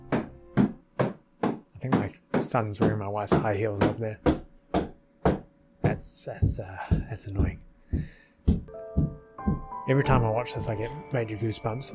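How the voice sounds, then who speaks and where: A middle-aged man giving casual, self-deprecating video commentary, his mouth partly numb. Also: mid-range voice. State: mouth partly numb after dental work. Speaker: video creator. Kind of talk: casual rambling commentary over a film edit. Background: background music.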